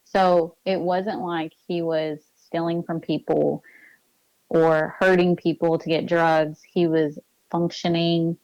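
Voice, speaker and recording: A woman's voice: on the low side, level tone, slow, darkish tone, dry recording, dull audio. A woman interview guest giving a personal account in an earnest, insistent way, repeating points for emphasis.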